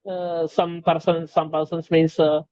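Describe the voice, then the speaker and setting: Halting Telugu-speaking man, fairly high voice. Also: flat delivery, a little mellow. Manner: halting, many fillers and restarts. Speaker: conversation guest. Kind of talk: casual conversation. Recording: dull lo-fi sound.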